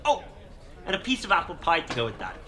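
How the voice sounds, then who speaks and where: A man's voice: on the high side, huge pitch swings, fast, background noise. A man playing an eccentric, unsettling stranger in a radio play, rambling theatrically and cryptically. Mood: whimsical, giddy. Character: eccentric, unsettling male stranger, whimsical turning sinister.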